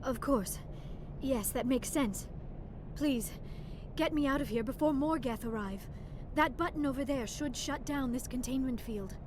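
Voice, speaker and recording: A high, earnest young alien archaeologist from a video game, anxious and formally spoken. The sound is echoey and a little distant, with noisy ambience around it. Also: female voice, a little slow. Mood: anxious, relieved.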